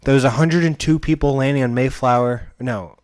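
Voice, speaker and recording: A man co-hosts a podcast with a mid-range voice. He chats casually and slips in deadpan, teasing jokes. The sound is dry, with little room in it. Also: medium pitch, moderate pace, clean tone, no echo. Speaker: podcast co-host. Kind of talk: casual chat. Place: indoor room shared with co-host.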